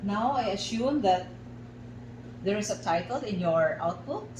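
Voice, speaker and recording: A woman with a Filipino accent guides an art exercise as a facilitator, giving deliberate instructions in short, separated phrases. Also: medium pitch, animated. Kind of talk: slow guided instruction for a radio lesson. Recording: echoey room, clear background noise.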